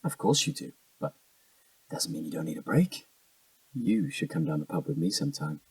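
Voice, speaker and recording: A man's voice: rumbling low, big pitch swings, moderate pace, vocal fry, a little mellow, breathy edge, dry recording, far from the mic, exaggerated voice, poor audio. An actor playing an evasive, defensive young British man in a naturalistic audio drama, hesitant and slangy. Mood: guarded, friendly.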